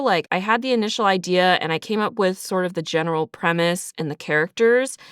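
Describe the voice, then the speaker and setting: A woman's voice: low-pitched, fairly bright tone, smooth, dry recording, clean studio sound. A woman writer explaining her creative process in a casual, reflective interview style. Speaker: writer and creator of an audio drama.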